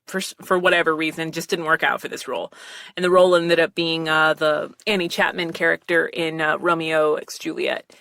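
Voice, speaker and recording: A woman's voice: gravelly, a bit bright, no room sound. A woman guest on a podcast, chatting casually and rambling a little as she gives recording tips into a close mic. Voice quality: self-described low voice. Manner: rambling, chatty, with frequent fillers and laughs. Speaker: podcast guest talking voice acting. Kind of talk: casual commentary chat, giving recording advice. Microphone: close studio mic with headphones.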